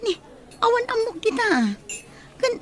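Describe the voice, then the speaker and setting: A woman's voice: very high voice, sing-song, a little slow, full character voice. An actress in a Filipino radio drama playing a sincere young working woman. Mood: puzzled, embarrassed. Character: sincere young working woman, embarrassed about her boyfriend.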